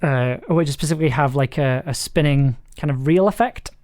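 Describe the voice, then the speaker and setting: Streamer mumbling thru their editing, casual n halting, medium pitch, dry close mic, keys clicking. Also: darkish tone, no echo. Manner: halting, thinking aloud, trailing off. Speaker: audio drama maker streaming the editing process. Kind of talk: casual livestream commentary while working.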